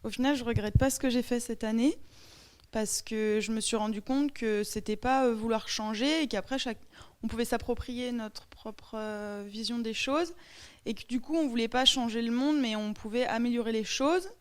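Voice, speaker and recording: A young French woman in a classroom debate, nervous and halting with many fillers. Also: fairly high pitch, clean tone. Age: young adult. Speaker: student in a debate.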